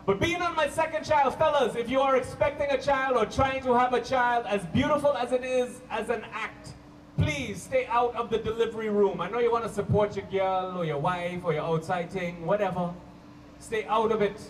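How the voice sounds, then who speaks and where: A man with a Canadian accent performing stand-up comedy to a live audience, telling stories playfully with drawn-out emphasis and pauses. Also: very high voice, reverberant. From Toronto, Canada. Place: live comedy show at a park venue. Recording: distant mic, hissy live-show camcorder sound.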